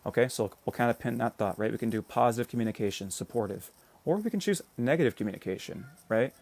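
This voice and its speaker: A man's voice: mid-range voice, lively intonation, fast, creaky, darkish tone. An American man hosting a casual political livestream. He rambles and swears, keeps checking in with "right?", and stops to greet commenters. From United States. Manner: reads out and answers viewer comments. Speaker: livestream host.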